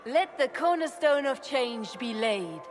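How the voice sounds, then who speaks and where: An actress playing a regal, commanding empress in a fantasy game, speaking formally and imperiously. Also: female voice, sits high, lively intonation, a little slow, faint music behind. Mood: proclaiming. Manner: stately, imperious.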